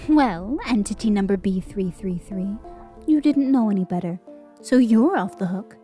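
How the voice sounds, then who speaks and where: A woman's voice: mid-range voice, lively intonation, normal speed, warm tone, soft background music, lightly acted. A woman playing a condescending director of an otherworldly bureaucracy in an audio drama, sweet and falsely cheerful with menace beneath. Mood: breezily commanding. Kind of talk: scripted audio drama acting.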